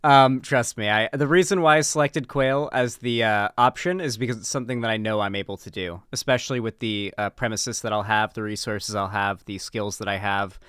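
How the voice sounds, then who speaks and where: A man's voice: fairly low pitch, fairly even intonation, a little fast, few pauses, a bit bright, clean tone, no echo, studio quality. A man hosting a livestream, talking in a casual, informal and chatty way. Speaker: livestream host. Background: background guitar music.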